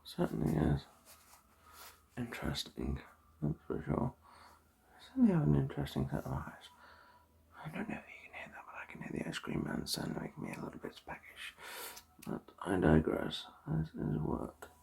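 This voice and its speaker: A breathy, very husky voice delivers an ASMR medical role-play as an eye examiner. The tone is mellow and full of gravelly fry, slipping often into whispers. The delivery is unhurried and politely clinical, with chatty murmured asides. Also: mid-range voice, huge pitch swings.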